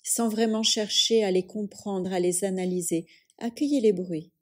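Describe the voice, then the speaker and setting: A woman leading a guided yoga nidra relaxation as a teacher, speaking calmly and soothingly in measured phrases with long pauses. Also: mid-range voice.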